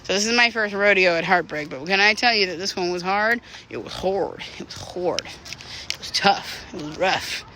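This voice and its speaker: A woman's voice: lowish voice, sing-song, normal speed, pushed and bright, a little hiss or hum. A woman in her thirties talking in a candid, rambling, slangy way, as in a casual confessional walking vlog. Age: 30s. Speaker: vlogger.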